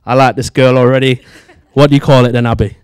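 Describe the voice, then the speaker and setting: A man's voice: medium pitch, flat delivery, brisk, clean tone, dry recording. A man in his twenties with a Manchester accent, chattily hosting and interviewing on a handheld microphone. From Manchester, England. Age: 20s. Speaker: studio show host. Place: dark studio theatre with tiered seats.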